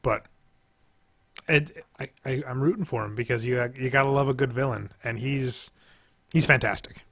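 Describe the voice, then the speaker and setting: Relaxed young man hosting a chat. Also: mid-range voice, moderate pace, no echo. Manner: relaxed, laughing, hesitant with fillers. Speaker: podcast host. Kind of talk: casual chat reviewing a TV episode. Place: small room indoors. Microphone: headset, video call.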